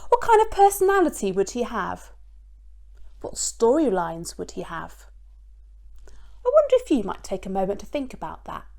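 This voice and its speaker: A woman giving a children's church talk in an expressive storytelling way, speaking simply and directly to her listeners. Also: mid-range voice, moderate pace, creaky, pauses often.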